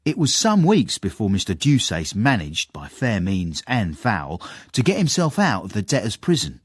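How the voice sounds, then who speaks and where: A man playing a cheeky, sly young servant and self-satisfied storyteller in a radio drama, husky and wryly deferential. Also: lowish voice, wildly swooping pitch, moderate pace, no echo, full character voice. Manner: wry, deferential with sly irony. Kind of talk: radio drama acting and narration.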